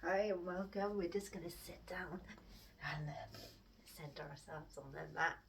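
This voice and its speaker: Slow, calm woman guiding yoga from afar. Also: fairly low pitch, gravelly, far from the mic, noisy background. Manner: calm, gently encouraging cues, drops to a whisper at times. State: stuffy-nosed from hay fever. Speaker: yoga instructor. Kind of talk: guided yoga instruction.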